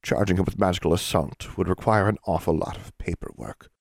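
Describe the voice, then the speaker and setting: A man voicing a sleepy, unflappable elderly witch who speaks mildly and quietly. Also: sits low, steady tone, a little fast, gravelly, dry recording, clean studio sound. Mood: placid. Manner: mild, quiet, drowsy.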